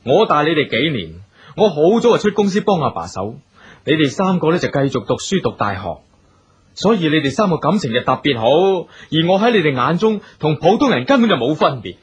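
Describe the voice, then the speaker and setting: An actor playing a bitter, suspicious eldest brother, a wheelchair-bound company boss, scornful and domineering in a radio drama. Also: male voice, fairly high pitch, sing-song, fairly quick, slightly rough, heavily acted. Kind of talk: Cantonese radio drama acting. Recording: dull radio drama recording, music behind.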